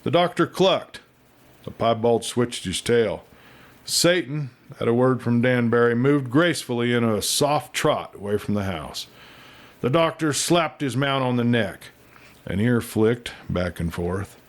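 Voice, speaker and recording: A man reading a western novel aloud as an expressive, folksy storyteller. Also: mid-range voice, measured pace. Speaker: audiobook reader and storyteller.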